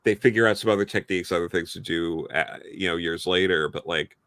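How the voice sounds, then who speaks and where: A man with a lowish voice talks through his opinions as a review panelist. He circles around his points in a halting, rambling way, and the sound is close and dry. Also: fairly bright tone, dry recording. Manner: halting, rambling, hedging delivery. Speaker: podcast panelist reviewing an audio drama. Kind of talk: casual opinionated review discussion.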